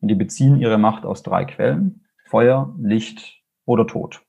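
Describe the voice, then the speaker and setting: A man's voice: on the low side, unhurried, vocal fry, dark tone, slightly rough. A man with a Swabian accent, a fantasy author speaking casually and enthusiastically in an interview, rambling with fillers and restarts. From Swabia, Germany.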